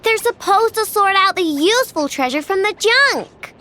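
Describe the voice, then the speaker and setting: A woman voicing an expressive young girl inventor in a video game, blunt and excitable, with grumpy, sleepy edges. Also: very high voice, normal speed, exaggerated voice. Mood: explaining. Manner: expressive, blunt, childlike speech. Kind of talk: video game character voice acting.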